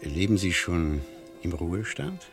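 An actor in a 1970s German radio play, playing a worldly, middle-aged French war reporter with a dry, wry, emphatic delivery. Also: male voice, low-pitched, big pitch swings, a little slow, soft background music, exaggerated voice. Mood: wry, chatty.

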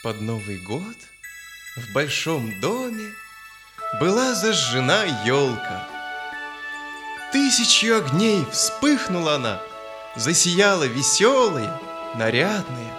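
A man narrating a children's audio fairy tale in a solemn, expressive, literary manner. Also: a little high, takes it slowly, long pauses. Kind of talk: dramatic scripted storytelling, audio fairy tale. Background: music.

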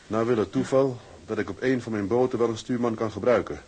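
Casting: a man to play an earnest out-of-work sailor, radio drama, plain-spoken, husky edge, mid register. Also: medium pitch, brisk, darkish tone, lightly acted. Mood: relieved, cheerful. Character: earnest young Dutch seaman husband, out of work, hopeful.

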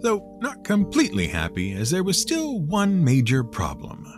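Male storyteller, wry and theatrical, hugely varied intonation, slightly high pitch, light music. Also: wildly swooping pitch, moderate pace. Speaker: storyteller and show host. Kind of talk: scripted storytelling narration.

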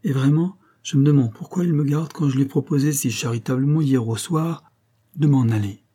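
A man's voice: fairly low pitch, fairly even intonation, moderate pace, dark tone, slightly rough. A man voicing a proud young actress wife in a storybook reading, impatient, mocking and bitter. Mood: indignant. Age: young adult. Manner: railing, vehement, impatient.

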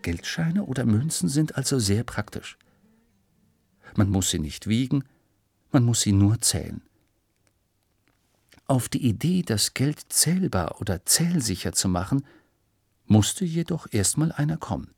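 A man's voice: mid-range voice, sing-song, unhurried, vocal fry, pauses often, darkish tone, breathy edge, no echo, clean studio sound. A man reading scripted educational narration for children, explaining in a storytelling way with rhetorical questions.

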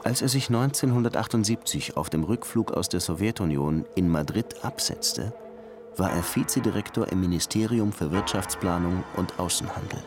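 A man's voice: sits low, moderate pace, lightly acted. An actor playing a disillusioned police lieutenant in his thirties who wants to write, brooding and wry, in a radio crime drama. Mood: reflective. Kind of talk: radio crime drama, first-person narration and dialogue. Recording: music behind the voice.

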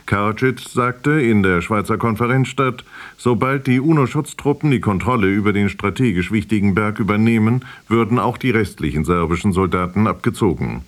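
A man with a standard German accent reading a scripted news bulletin on the radio. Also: on the low side. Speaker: radio newsreader.

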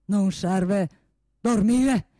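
A woman playing a proud, obsessive male heir of a gun-making family in a radio play, halting and repeating fervently. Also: medium pitch, mellow, dry recording, clean studio sound. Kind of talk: theatrical radio play monologue.

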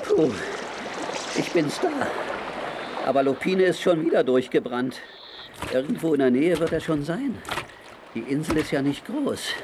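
A man's voice: fairly high pitch, very animated, unhurried, long pauses, noisy background. A man playing a feisty, boastful cartoon sailor in a comic children's radio play, exclaiming and thinking aloud to himself. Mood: determined.

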